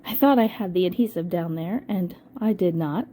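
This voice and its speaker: An older woman with a hoarse voice from a cold, casually narrating a scrapbooking voice-over and thinking aloud with frequent ums. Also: fairly low pitch, moderate pace, dark tone. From United States. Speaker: scrapbooking channel host.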